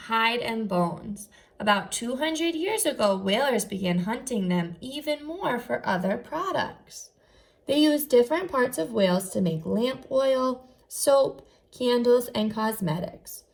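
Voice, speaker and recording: Female first-grade teacher, animated pitch, creaky edges, enunciated instructional read-aloud delivery. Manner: instructional, enunciated, posing questions to young listeners. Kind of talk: read-aloud lesson for young children.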